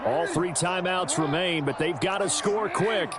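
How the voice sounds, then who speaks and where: Male sports play-by-play announcer, high voice, video game football, brisk calls, loud stadium noise behind. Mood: measured.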